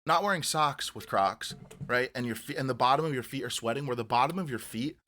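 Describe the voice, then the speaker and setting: A man's voice: medium pitch, fairly quick, pushed and bright, slight background noise. A man co-hosting a casual podcast chat, rambling and swearing and often correcting himself mid-sentence. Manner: rambling, profane, self-correcting. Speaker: podcast co-host.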